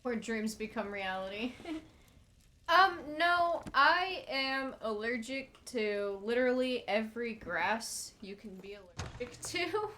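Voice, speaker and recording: A woman's voice: fairly high pitch, animated, takes it slowly, pushed and bright. Congested young woman chatting through gameplay. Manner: chatty, laughs and swears freely. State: congested, stuffy nose. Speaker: streamer playing a game. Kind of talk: casual gameplay chat.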